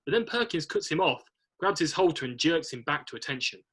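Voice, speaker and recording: Bright, slightly husky male narrator. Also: fairly high pitch, big pitch swings, brisk. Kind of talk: reading screenplay stage directions aloud.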